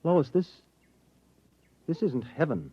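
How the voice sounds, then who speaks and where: A man acting as a cautious young husband in a 1950s radio drama, hesitant, measured and doubtful. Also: a little high, very animated, slightly rough, full character voice. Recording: dull lo-fi 1950s radio recording.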